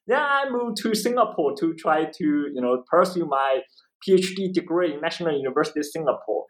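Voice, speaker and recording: High, lively Chinese-accented male academic. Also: fairly quick, rough recording. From eastern China. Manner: repeats phrases, often tags statements with "right?". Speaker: interview guest, history professor and author. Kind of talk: conversational explanation in an interview.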